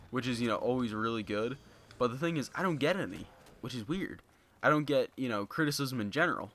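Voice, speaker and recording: A young man talking casually to his viewers in a flat, monotone voice, rambling with lots of fillers into a basic home microphone. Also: on the low side, no echo. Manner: rambling, filler-heavy, many "you know"s. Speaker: gaming YouTuber. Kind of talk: casual unscripted commentary to viewers. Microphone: basic low-end home mic.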